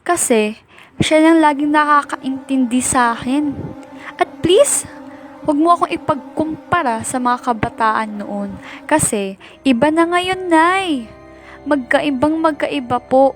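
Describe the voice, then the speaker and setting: A woman's voice: high voice, measured pace, pauses often. A woman acting a rebellious, resentful teenage daughter in an amateur Filipino radio drama. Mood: resentful, argumentative. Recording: music bed behind dialogue.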